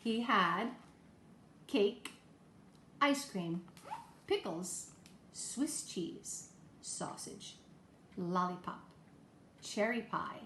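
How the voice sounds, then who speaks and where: A woman's voice: mid-range voice, lively intonation, extremely slow, long pauses, fairly bright tone. Woman teacher addressing children, echoey room. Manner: encouraging, simple questions and explanations for children. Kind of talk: talking to young children in a homemade lesson video. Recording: echoey room, faint background noise.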